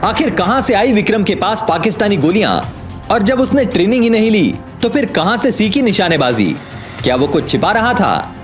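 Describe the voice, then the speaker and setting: A man narrates a scripted audio drama with suspense and emphasis. His voice is fairly high and swings widely in pitch. Soft music runs underneath. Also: big pitch swings, moderate pace. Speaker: story narrator.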